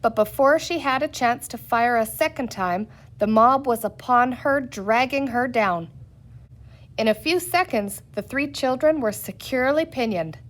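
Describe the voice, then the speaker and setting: A woman reading a children's book aloud as a storyteller, in an expressive style. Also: fairly high pitch, measured pace. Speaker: story reader.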